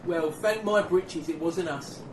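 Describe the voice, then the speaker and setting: A man plays a cheeky working-class English soldier in a wartime radio play. His high voice has a joker's manner, and it comes from a little way off in a roomy space. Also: unhurried, close to an everyday voice. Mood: amused, jokey. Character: cheeky, joking English soldier bringing tea round. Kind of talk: dramatic dialogue in an audio play. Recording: roomy, distant, faintly noisy recording.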